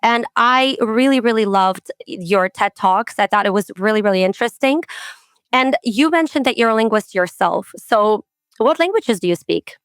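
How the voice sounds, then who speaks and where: A woman hosting a podcast, introducing her guest and asking friendly, measured questions. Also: fairly high pitch, expressive, clear, resonant tone, dry recording, studio quality. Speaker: podcast host. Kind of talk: podcast interview.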